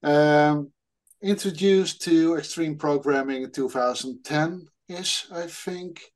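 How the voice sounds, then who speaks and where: A man's voice: on the high side, slow pace. Dutch-accented man, joking panel guest. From Netherlands. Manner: jokey, self-mocking, laughs often. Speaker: guest developer and coach. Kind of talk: casual panel chat.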